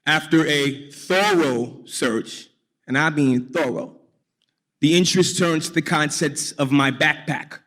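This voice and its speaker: A man from New York telling a personal story on stage, deliberately and wryly, with dramatic pauses. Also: fairly high pitch, a bit bright. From New York, United States.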